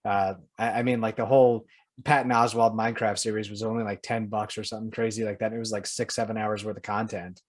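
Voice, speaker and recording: A man's voice: on the low side, little pitch movement, fast. A man co-hosting a podcast in casual, conversational chat. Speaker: podcast co-host.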